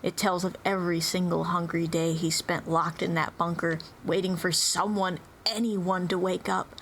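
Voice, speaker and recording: A woman's voice: fairly low pitch, level tone, moderate pace. A woman reading scripted narration dramatically, with intense, theatrical phrasing. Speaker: player reading own turn in a dramatic roleplay reading. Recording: clearly noisy background.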